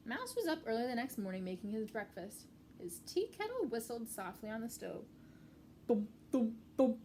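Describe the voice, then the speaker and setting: A woman's voice: a little high, big pitch swings, fairly quick, a little mellow, a little hiss or hum. A woman reading a picture book to young children, explaining things in simple, repeated words like a teacher. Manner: clear, simple explaining with repetition for small children. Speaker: children's story-time reader and teacher.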